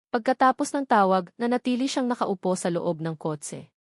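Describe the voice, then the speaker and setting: A woman's voice: medium pitch, animated, normal speed, no room sound, clean studio sound. A woman reading a scripted romance story as its narrator.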